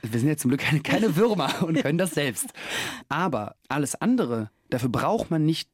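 A man's voice: fairly high pitch, big pitch swings, moderate pace, breathy edge, no room sound, clean studio sound. A man in his late twenties from Russia, a guest talking casually and reflectively, laughing often and using many fillers. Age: late 20s. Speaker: guest, actor and musician. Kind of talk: casual interview talk.

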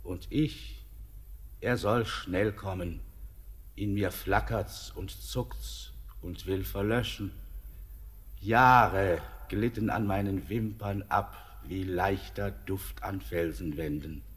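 An actor playing an old, weary male mountain spirit in a classic radio play, declaiming verse in a slow, weighty way. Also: deep voice. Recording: long hall reverb.

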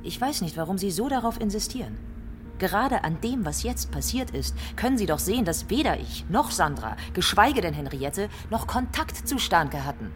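A woman's voice: mid-range voice, very animated, moderate pace, background noise, natural voice. An actress in a radio play playing a defensive, exasperated divorced mother who is being questioned and speaks emphatically. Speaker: actor in a radio drama.

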